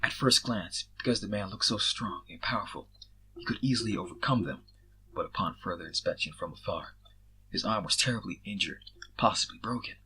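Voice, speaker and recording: A man's voice: fairly low pitch, fairly quick, pushed and bright, slight background noise, rough recording. A man reading fan fiction aloud as an amateur scripted story narration.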